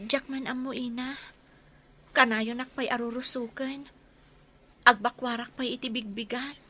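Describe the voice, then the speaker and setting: A woman playing a wronged young village daughter in a radio drama, tearful, frightened and halting over music. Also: a little high, fairly even intonation, sharp tone. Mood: meek, fearful. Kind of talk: radio drama acting in Ilocano. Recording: music under the voice.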